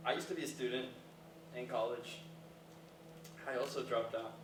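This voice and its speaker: A man's voice: mid-range voice, steady tone, moderate pace, natural voice. Echoey, earnest, awkward young man played by an actor. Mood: matter-of-fact. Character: earnest, awkward Harvard dropout, apologetic and literal-minded. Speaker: stage actor in a staged reading. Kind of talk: naturalistic stage comedy dialogue. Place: live staged reading in a reverberant room. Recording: echoey, noisy room from far off.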